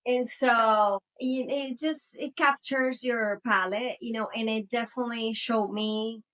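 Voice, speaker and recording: A woman's voice: a little high, steady tone, a little slow, a bit bright. Chatty Venezuelan-born woman, rambling storyteller. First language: Spanish. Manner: rambling, many "you know" fillers, laughs often. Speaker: tequila brand co-founder, guest. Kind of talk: casual interview storytelling.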